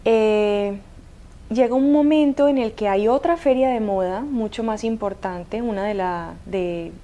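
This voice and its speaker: Slow-talking woman with a Cuban-Colombian accent. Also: mid-range voice, mellow. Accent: mixed Cuban and Colombian Spanish. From Cuba. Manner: hesitant, many fillers and restarts. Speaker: guest. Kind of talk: interview storytelling.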